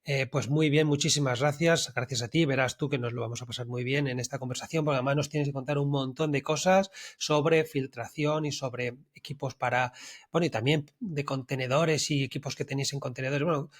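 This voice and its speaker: A man's voice: mid-range voice, fairly even intonation, brisk. A man hosting a podcast, putting questions to a guest in a friendly, chatty manner. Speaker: podcast host and interviewer. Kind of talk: conversational interview.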